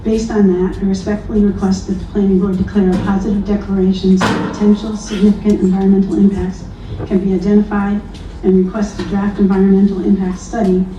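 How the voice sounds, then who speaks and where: A woman from New Lebanon, New York, reading a prepared public comment in a measured, earnest way at a town hearing. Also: mid-range voice, level tone, noisy background. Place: town hall meeting room. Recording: echoey distant lo-fi hall recording.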